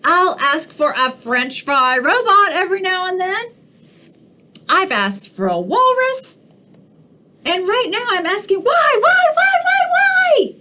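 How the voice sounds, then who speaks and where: Woman playing a bossy storybook pigeon, high squeaky voice, whines and shouts, leaps all over in pitch. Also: big pitch swings, unhurried, pauses often, noisy background, exaggerated voice. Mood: excited, demanding. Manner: loud, demanding, whining. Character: pushy, whiny pigeon, young male. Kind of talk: storybook read-aloud.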